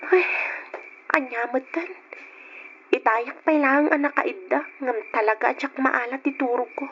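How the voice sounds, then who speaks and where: Woman as a high-voiced, bubbly young student; lo-fi radio. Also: fairly even intonation, unhurried, fairly bright tone, a little hiss or hum. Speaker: student character. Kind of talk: radio drama acting. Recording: dull lo-fi radio recording.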